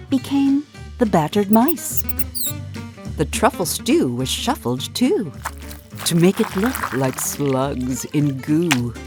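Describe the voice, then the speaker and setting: A woman reading a children's picture book aloud as storyteller, in a theatrical, deliberate and expressive way. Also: on the low side.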